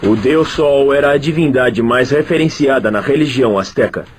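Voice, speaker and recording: A man giving scripted documentary narration in an even, measured delivery. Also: medium pitch, a little hiss or hum.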